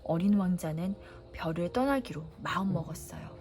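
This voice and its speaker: A woman reading a children's storybook aloud as a bedtime story, narrating in a gentle, unhurried way. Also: on the low side, expressive, soft background music. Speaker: storybook reader.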